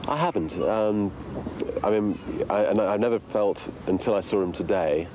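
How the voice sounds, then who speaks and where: A man's voice: on the low side, normal speed, muffled recording, noisy background. A man in an unscripted interview, talking hesitantly with stammered repetitions and frequent filler phrases. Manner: hesitant, stammering repetitions, many "you know"s. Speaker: interviewee, friend of the documentary's subject.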